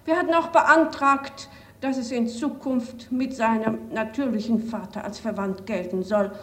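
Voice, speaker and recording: A woman's voice: a little high, fairly even intonation, measured pace, natural voice. An actress playing a resolute, regretful woman lawmaker, giving a formal and emphatic address. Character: regretful but resolute female social democrat lawmaker. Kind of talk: formal retrospective address, re-enacted. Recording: echoey room, distant from microphone.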